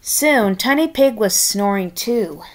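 A woman reading a children's picture book aloud expressively and deliberately, with chatty asides of her own. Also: medium pitch. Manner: expressive, deliberate, adds playful commentary. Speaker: storybook reader.

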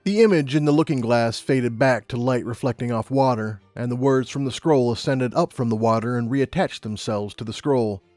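Mellow man narrating a story. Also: mid-range voice, moderate pace, few pauses. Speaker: audiobook narrator. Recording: long reverb, music underneath.

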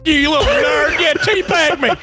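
A man voicing a squeaky-voiced, grumpy, thrice-divorced gamer who shouts insults and taunts in voice chat. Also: steady tone, moderate pace, background noise. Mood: taunting. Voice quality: high squeaky gravelly voice. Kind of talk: game voice chat trolling.

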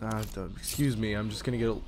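A man's voice: fairly low pitch, fairly even intonation, fast, noisy background. A man giving casual, joking and sarcastic playthrough commentary as a gaming streamer. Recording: game music behind the voice.

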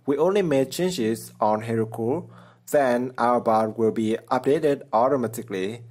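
A man's voice: mid-range voice, a little slow, a little mellow. Man narrating a coding tutorial plainly. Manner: plain step-by-step explaining, simple non-native phrasing. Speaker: programming tutorial channel host. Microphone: voice-over over screen recording.

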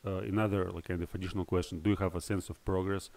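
A man's voice: low voice, little pitch movement, very fast, creaky, darkish tone. A man hosting a podcast interview, asking questions casually and hesitantly, often repeating words.

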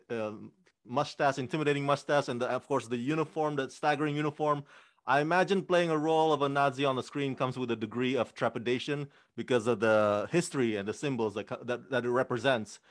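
A man's voice: mid-range voice, brisk. A man hosting an interview, asking long, upbeat questions into a studio microphone over a video call. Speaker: interview host. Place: room with green backdrop, indoors. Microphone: studio mic on arm, video call.

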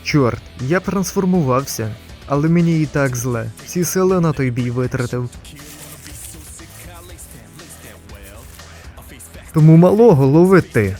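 A man's voice: medium pitch, lively intonation, moderate pace, darkish tone, faint music behind, close to an everyday voice. A man voicing a wry, irritable student who is a reluctant comic hero, with a dry, grumbling manner. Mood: challenging. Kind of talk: comic dub acting.

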